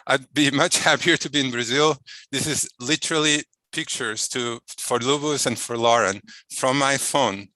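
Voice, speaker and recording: A man's voice: mid-range voice, pushed and bright, no room sound, rough recording. A man moderating an academic finance panel on a video call, speaking with many fillers and restarts. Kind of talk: academic webinar introduction. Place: indoor office or home room. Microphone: headset on a video call.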